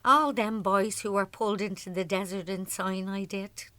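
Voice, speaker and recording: An actress playing a grieving woman who remembers a troubled soldier in a halting, reflective way, in a naturalistic radio drama. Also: medium pitch, fairly even intonation, pushed and bright, no room sound, lightly acted. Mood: subdued.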